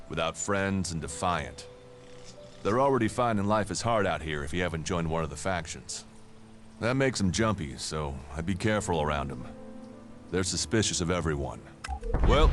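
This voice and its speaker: Low-voiced plain-spoken warrior guide. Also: male voice. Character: friendly but watchful male warrior guide, plain-spoken. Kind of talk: video game acting.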